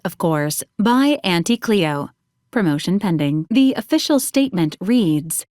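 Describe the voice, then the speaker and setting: A woman voicing a polite, literal-minded ship robot in a video game, giving formal status reports in a clinical, deadpan manner with dry menace. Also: medium pitch, dry recording, natural voice. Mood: formal, informative.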